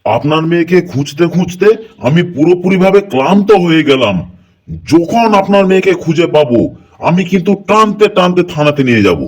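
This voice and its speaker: A man's voice: fairly high pitch, lively intonation, a little fast. A man playing a stern police officer in an amateur cartoon, with music behind him. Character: stern male police officer taking complaints. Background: background music.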